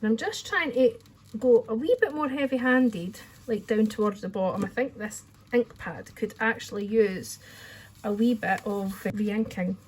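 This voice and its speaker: A woman's voice: a little high, a little slow, a little hiss or hum, rough recording. A Scottish woman chatting casually through a card-making tutorial at her craft desk, with a friendly, rambling manner. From Scotland. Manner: chatty, friendly, says "wee" often.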